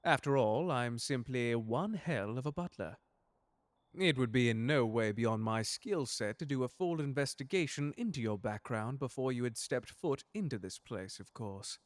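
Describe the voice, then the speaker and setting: A man playing a suave, unflappable butler in an audio roleplay drama, speaking in formal, genteel, precise language with quiet menace. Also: medium pitch, lively intonation, normal speed, no echo, close to an everyday voice. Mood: smooth menace.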